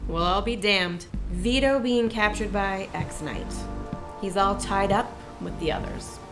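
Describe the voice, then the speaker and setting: A woman's voice: medium pitch, a little slow, fairly bright tone. A woman playing a jaded, authoritative police lieutenant in an audio drama, with a brusque delivery. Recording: music behind the voice.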